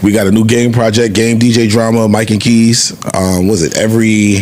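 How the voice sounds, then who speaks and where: Man, lowish pitch, casual podcast co-host, conversational delivery, slight background noise. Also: little pitch movement, normal speed, a little mellow. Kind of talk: casual music discussion.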